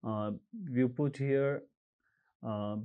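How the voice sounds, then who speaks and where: Slow, halting man guiding a screen walkthrough. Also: medium pitch, fairly even intonation, clean tone, phone-quality sound. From Nepal. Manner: halting, frequent uh and um fillers, reads out codes slowly. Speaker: tutorial presenter. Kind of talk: screen-recorded how-to walkthrough.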